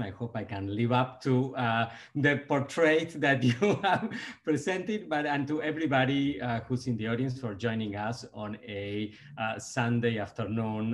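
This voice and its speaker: A middle-aged man from Argentina, speaking English with an Argentine Spanish accent as a guest author on a video call, hesitant with many pauses and restarts. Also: mid-range voice. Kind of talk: conversational book talk on video call. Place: office with bookshelves, indoors. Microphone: video call webcam.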